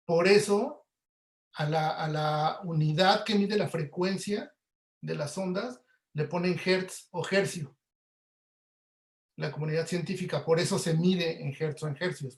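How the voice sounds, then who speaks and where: A man's voice: a little high, moderate pace, vocal fry. A Mexican man giving an informal explanatory webinar lecture, often checking in with short tag questions. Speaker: lecturer, audio producer. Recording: echoey room.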